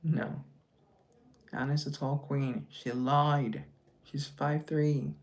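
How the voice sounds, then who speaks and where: A man's voice: on the high side, level tone, very slow. A man hosting a casual social media live, reading out viewer comments and answering them in a teasing, joking, chatty way over a phone mic. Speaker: live-stream host.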